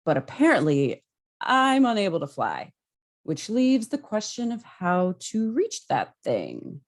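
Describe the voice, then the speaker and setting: A woman's voice: medium pitch, wildly swooping pitch, a little slow, full character voice. A woman playing a brash, stubborn superhero in a script table read, with a casual, emphatic delivery. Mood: defiant. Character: brash, stubborn, heroic male alien superhero.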